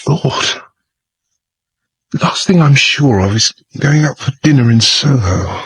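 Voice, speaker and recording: An actor playing a gallant but shaken young gentleman, speaking haltingly and emotionally with well-bred politeness. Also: medium pitch, expressive, husky, no room sound, exaggerated voice. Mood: dazed, shaken.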